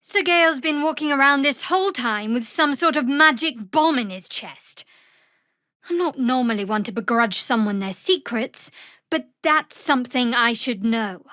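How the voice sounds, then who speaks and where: A woman voicing a guarded, devout young cleric in a fantasy video game, with a measured, dry, wry delivery. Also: high voice, expressive, pushed and bright, no room sound. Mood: cool, disapproving.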